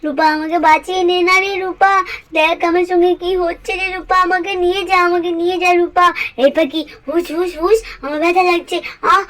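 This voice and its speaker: A woman's voice: extremely high pitch, fairly even intonation, brisk, fairly bright tone, voice pushed up. A woman voicing a mischievous little girl doll in a cartoon story, whining and pleading over and over. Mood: panicked, pleading. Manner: whiny, repetitive pleading.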